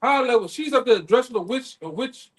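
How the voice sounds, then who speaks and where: A man's voice: extremely high pitch, animated, fairly quick. A man in casual, unscripted talk, colloquial and prone to repeating his phrases. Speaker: member of a street preaching group.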